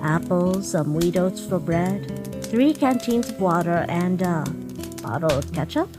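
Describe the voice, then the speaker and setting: A woman voicing a gentle, motherly monster queen in a comic dub, speaking with formal, measured politeness. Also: lowish voice, expressive. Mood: warm, motherly. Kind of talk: comic dub character acting. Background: music.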